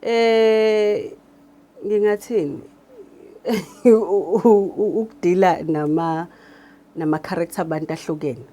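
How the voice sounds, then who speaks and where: A woman's voice: lowish voice, steady tone, takes it slowly, long pauses, mellow. A woman with a South African accent explaining her work in an interview, code-switching as she goes. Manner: explanatory, switches between Zulu and English. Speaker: radio drama director. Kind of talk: interview talk.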